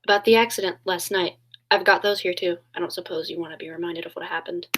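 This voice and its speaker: A woman's voice: fairly low pitch, fairly even intonation, fast, noisy background, natural voice. A woman playing a detective's helpful, concerned young assistant in a 1940s radio play. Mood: uneasy.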